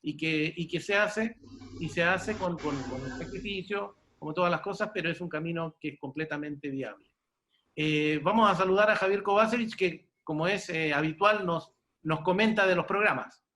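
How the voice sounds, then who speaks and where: A Chilean man hosts an interview in a voice pitched a little high. He talks at length without a script, halting and repeating words while he finds the next phrase. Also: sharp tone, slight background noise. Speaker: host and interviewer. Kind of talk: unscripted interview hosting.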